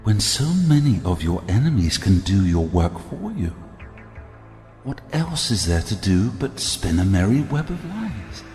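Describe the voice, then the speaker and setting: An actor playing an embittered, silky and calculating royal elder brother in an audio drama, with a deliberate, commanding, theatrical delivery. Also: male voice, fairly low pitch, sing-song, darkish tone. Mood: smug, scheming. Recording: long reverberant hall, music behind.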